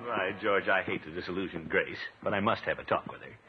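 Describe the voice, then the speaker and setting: A man's voice: sits low, fairly even intonation, fast, loud music behind the voice, natural voice. An actor playing a pompous, flustered, easily exasperated middle-aged man with blustery exclamations in a 1950s radio comedy. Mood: grumbling, brooding. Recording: tinny, noisy old radio recording.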